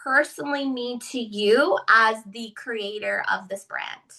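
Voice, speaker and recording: A bright, slightly high-pitched woman hosts with effusive enthusiasm. Her voice sits a little away from the microphone, carrying a lively, eager lilt. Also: unhurried, creaky, recorded from a distance. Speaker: podcast host. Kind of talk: upbeat interview hosting.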